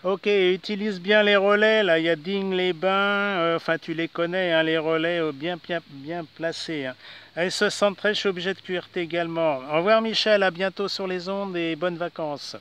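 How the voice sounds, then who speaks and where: A man's voice: high voice, steady tone, normal speed, fairly bright tone, clean tone. A man from France chatting casually as an amateur radio operator, often ending phrases with little conversational tags. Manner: chatty, frequent "hein" tags. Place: outdoors.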